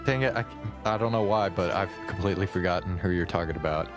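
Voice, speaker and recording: A man livestreaming in a casual, halting, rambling way, swearing offhandedly as he chats. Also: sits low, over music. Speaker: live streamer.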